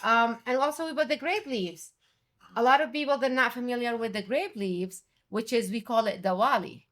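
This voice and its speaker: A middle-aged woman from Jordan, a restaurant owner and chef, speaking English as an in an animated, conversational interview. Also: on the high side, normal speed. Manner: animated, quotes others with raised voice.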